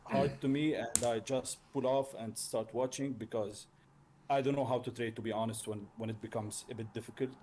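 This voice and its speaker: Hesitant man over clear background noise. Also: medium pitch, steady tone, normal speed, warm tone. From Lebanon. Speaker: learner trader, mentee. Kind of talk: casual chat.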